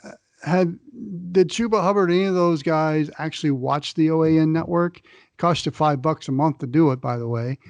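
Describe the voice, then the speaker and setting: A man with a somewhat high voice talks sports on a podcast in a wry, chatty way, often hesitating mid-thought. The recording is dry and close, with no room sound. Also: a little fast, a little mellow, dry recording. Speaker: podcast co-host. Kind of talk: casual sports talk.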